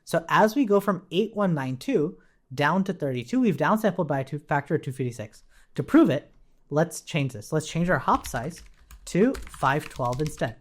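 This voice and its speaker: A man's voice: fairly high pitch, animated, moderate pace. A man giving an informal, explanatory coding walkthrough as an instructor, thinking aloud as he goes. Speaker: programming tutorial instructor. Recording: faint background noise, keyboard typing clicks. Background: computer keyboard typing and clicks.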